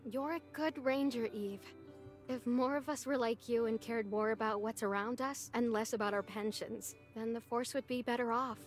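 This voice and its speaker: An actress voices a high-pitched female park ranger from a visual novel game. She is caring yet strict, teasing and scolding like an affectionate mother. Music plays behind her. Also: fairly even intonation, normal speed, natural voice. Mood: warm, encouraging.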